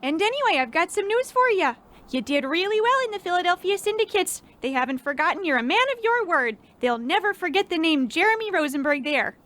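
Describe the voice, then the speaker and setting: Very high, swooping doting housewife. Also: female voice, sing-song, brisk, a bit bright, heavily acted. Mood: soothing, chiding. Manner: coaxing, folksy. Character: chipper, doting old-fashioned housewife. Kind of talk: old-time radio play acting.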